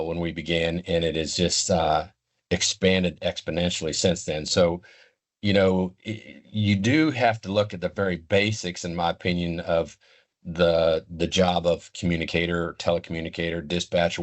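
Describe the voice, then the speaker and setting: An older man giving unscripted, explanatory interview answers in a deliberate, halting way. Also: deep voice. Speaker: interview guest, retired law enforcement communications expert.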